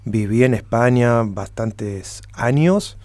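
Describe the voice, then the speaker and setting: A man's voice: medium pitch, slow, darkish tone. A middle-aged man with a Buenos Aires Spanish accent, chatting and reminiscing as a musician being interviewed. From Argentina (Buenos Aires area). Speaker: interview guest, flamenco musician. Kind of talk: casual conversational interview.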